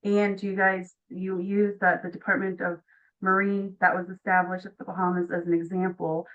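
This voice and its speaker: A woman's voice: lowish voice, level tone, normal speed. Muffled, informal woman on a call. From Tonga. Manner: enthusiastic, informal. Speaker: audience member asking a question. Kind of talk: casual spontaneous question. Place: online video call. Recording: muffled online call audio.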